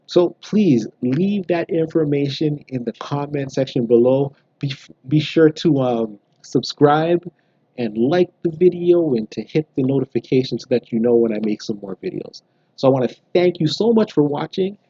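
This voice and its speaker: A man in his thirties from Canada speaks straight to the camera from a small bright room at home, relaxed and explanatory, with the odd um and restart. His voice is very dark and mellow with a husky edge. The sound is dry and a little dull. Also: fairly high pitch, moderate pace, no echo. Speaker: genealogy vlogger. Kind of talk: casual direct-to-camera vlog.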